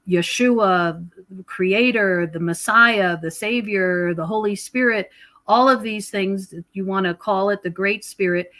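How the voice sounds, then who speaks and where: A woman's voice: medium pitch, fairly bright tone. A middle-aged or older woman speaking as an interview guest, explaining spiritual teachings in an earnest, conversational way. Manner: earnest, explanatory, quotes scripture. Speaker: podcast guest, author and researcher.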